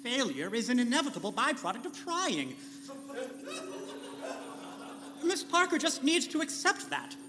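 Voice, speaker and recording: A man's voice: extremely high pitch, big pitch swings, fairly quick, exaggerated voice. A man playing a fussy, pedantic scientist who stammers and gets melodramatic in a live pulp sci-fi radio comedy. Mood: huffy. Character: fussy, grumbling, panicky scientist doctor. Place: live convention stage. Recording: echoey hall, noisy, clicks.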